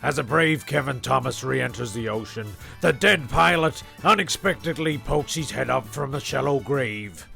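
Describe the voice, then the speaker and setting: A man playing a wry storyteller, reading scripted comic narration in a deadpan manner. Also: medium pitch, normal speed, creaky, few pauses, soft background music, heavily acted.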